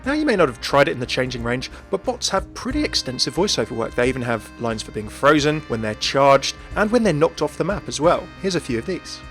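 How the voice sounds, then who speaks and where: A man presents a gaming video in a chatty, keen way. He talks fast with lively, moving pitch, over background music. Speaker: video host. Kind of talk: scripted video commentary on a game.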